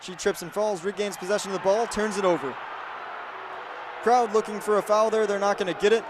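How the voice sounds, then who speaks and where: A man's voice: sits high, a little fast, background noise. A young man in his twenties giving radio play-by-play commentary of a basketball game. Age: 20s. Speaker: student sports broadcaster and reporter. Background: basketball game ambience.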